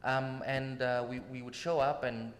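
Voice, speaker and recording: A man's voice: medium pitch, a little slow. Kenyan man telling stories in an echoing hall. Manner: animated, laughing, with many restarts and repeated words. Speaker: guest musician. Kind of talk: casual storytelling on a talk show. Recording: roomy hall with long echo, faint noise.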